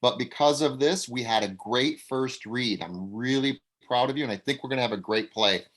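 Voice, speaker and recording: A man's voice: medium pitch, moderate pace, a bit bright. A man leading a table read over a video call, blunt and coach-like in manner.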